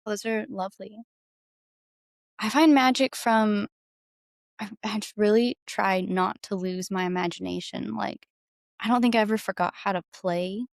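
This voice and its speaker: A woman talks casually as a guest in an interview, with plenty of creak in her voice. Her manner is informal and playful. The recording is dry and free of room sound. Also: mid-range voice, fairly even intonation, measured pace, no echo. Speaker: podcast guest, musician. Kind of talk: casual chat.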